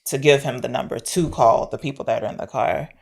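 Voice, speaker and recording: A woman's voice: extremely low pitch, fairly quick, vocal fry. A woman co-hosting a podcast, chatting informally about films and thinking aloud as she goes. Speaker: podcast co-host discussing films. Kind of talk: casual film discussion.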